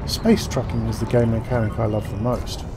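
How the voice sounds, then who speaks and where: A man's voice: on the low side, flat delivery, moderate pace, over music. A man giving scripted game news commentary as a gaming commentator, articulate and formal in his wording.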